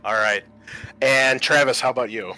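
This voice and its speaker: A man's voice: mid-range voice, fairly even intonation, normal speed, pushed and bright, low-quality recording. A man hosting a tabletop roleplaying show as game master, chatting casually and introducing players in an announcer-like way.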